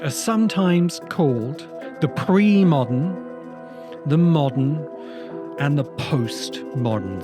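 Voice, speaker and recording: A man speaking as a podcast host in an explanatory lecture style, with measured, deliberate phrasing. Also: on the high side. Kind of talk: explanatory lecture to camera or audience.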